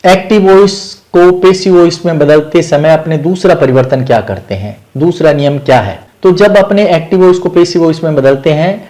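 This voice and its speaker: A man's voice: on the high side, normal speed, few pauses, warm tone. A man with an Indian accent explaining grammar as a teacher, methodically repeating key points and mixing in English terms.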